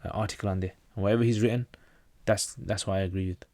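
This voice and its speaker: Low-voiced man from North Pakistan. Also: fairly even intonation, quick pace, a little mellow, dry recording. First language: Pashto. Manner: reads out questions then answers plainly, with frequent restarts. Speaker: channel host answering viewer questions. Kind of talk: casual livestream Q&A.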